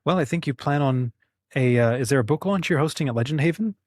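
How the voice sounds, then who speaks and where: Male interviewer, casual and slightly hesitant, rough-edged husky tone, close dry recording. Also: a little high, fast, a little mellow, dry recording. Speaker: interview host.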